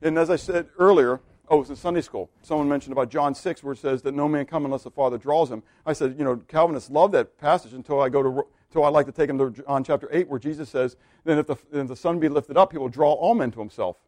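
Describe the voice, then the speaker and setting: A man preaching a sermon, animated and full of questions for his listeners. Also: fairly high pitch, quick pace, few pauses, no echo. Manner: animated, asks the congregation questions. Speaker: pastor.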